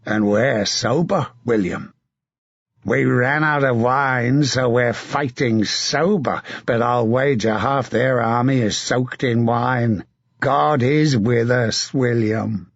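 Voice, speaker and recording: Man playing a gruff veteran knight, profane and rousing, slow husky delivery. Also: mid-range voice, no echo, highs cut off.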